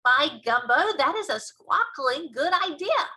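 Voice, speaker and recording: A woman's voice: high-pitched, big pitch swings, normal speed, pushed and bright, pitched above the performer's own voice, full character voice. A woman voicing a huge, kindly but blustery male giant in a children's storybook, with quaint broken grammar and muddled invented words. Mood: eager.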